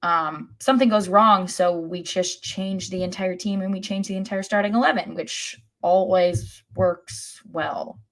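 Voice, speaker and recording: A woman with a voice a little on the low side co-hosts a sports podcast. She chats casually through her analysis and is wry about it. Her criticism comes out blunt. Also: steady tone, unhurried, hardly any pauses. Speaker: podcast co-host.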